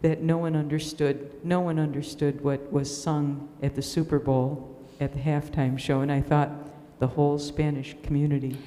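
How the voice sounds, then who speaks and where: An older woman sharing reflections in a group discussion, speaking in halting, thoughtful phrases. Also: low voice, flat delivery, warm tone. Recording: echoey hall with long reverb.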